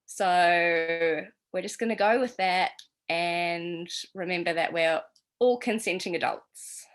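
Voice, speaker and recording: A woman's voice: lowish voice, takes it slowly. A young woman with a slight New Zealand accent teaching a casual tarot class, rambling and chatty with many fillers.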